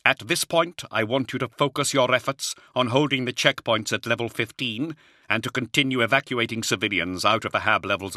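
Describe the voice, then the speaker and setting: A man's voice: mid-range voice, bright, forceful tone, dry recording, studio quality, pitched above the performer's own voice, natural voice. Man acting a commanding, grim warrior leader. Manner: authoritative, measured orders. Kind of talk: audiobook character acting.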